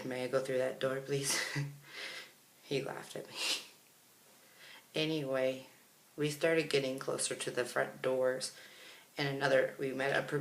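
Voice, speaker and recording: Gravelly American man telling a story, roomy sound. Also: mid-range voice. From Kansas, United States. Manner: chatty, rambling, self-correcting. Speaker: vlogger. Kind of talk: casual storytelling vlog.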